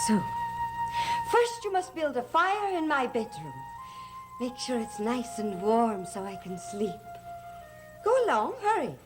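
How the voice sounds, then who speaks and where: Slow, theatrical, scheming grand lady. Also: medium pitch. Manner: theatrical, deliberate, sing-song. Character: giddy scheming woman, possessive, grandly commanding her mute servant. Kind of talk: gothic TV soap opera acting. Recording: old soap soundtrack with music underneath.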